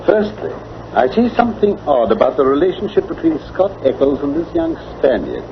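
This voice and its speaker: An actor playing a keen, analytical English gentleman detective in a vintage radio drama, with a British accent and precise, formal diction. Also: fairly high pitch, sing-song, a little fast. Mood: analytical, assured. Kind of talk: mid-century radio drama acting. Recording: dull, noisy vintage radio recording.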